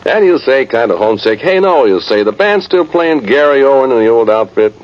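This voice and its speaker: A man's voice: mid-range voice, animated, fairly quick, close to an everyday voice. An actor, a man, playing a folksy, rueful cavalry sergeant rambling through a radio drama monologue. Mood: wistful, homesick. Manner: folksy, ungrammatical, rambling soldier talk. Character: folksy, rueful old-time cavalry sergeant, a ghostly soldier. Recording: muffled, hissy vintage radio recording.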